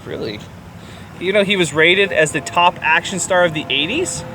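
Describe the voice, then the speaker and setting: A man co-hosting a podcast, chatting casually about films in an animated, sometimes crude way. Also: sits high, normal speed, noisy background. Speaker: podcast co-host. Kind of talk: casual film review chat.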